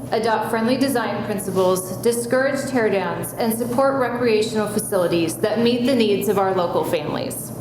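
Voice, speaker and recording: A woman candidate delivers a rehearsed campaign speech in a measured, steady way. Her voice rings through a strongly echoing room, with clear background noise underneath. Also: mid-range voice. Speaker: city council candidate.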